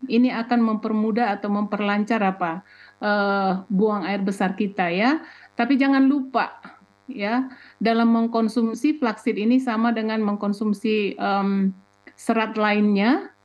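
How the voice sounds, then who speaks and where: A woman on a talk show, explaining as a guest grower. She often asks herself questions and fills her talk with pauses. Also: medium pitch, a little mellow, highs cut off.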